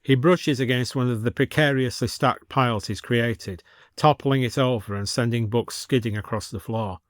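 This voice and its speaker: A man's voice: medium pitch, moderate pace, no echo, studio quality. A man narrating a scripted story as a storyteller.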